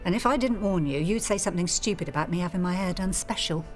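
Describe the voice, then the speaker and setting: A woman plays a middle-aged mother in a radio soap. Her voice sits slightly low, anxious yet full of teasing, with faint music behind. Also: fast, darkish tone, lightly acted. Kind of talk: radio soap opera acting.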